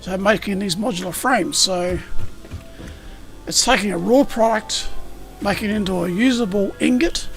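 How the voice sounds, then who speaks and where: A man streaming a factory-building game, explaining it in a rambling way with a slightly croaky voice. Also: sits high, moderate pace. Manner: rambling, self-correcting, explanatory. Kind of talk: casual gameplay explanation. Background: game music.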